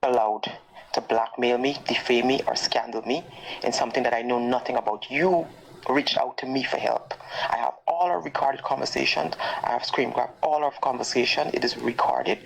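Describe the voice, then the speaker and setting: Male, creaky fry in the voice, confrontational and threatening, on a faintly noisy recording. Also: medium pitch, steady tone, normal speed. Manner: threatening, insistent. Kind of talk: confrontational spoken warning.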